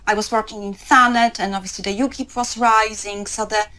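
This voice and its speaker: A woman's voice: mid-range voice, steady tone, brisk, sharp tone, slight background noise. A woman from Poland with a Polish accent, speaking reflectively in a documentary interview.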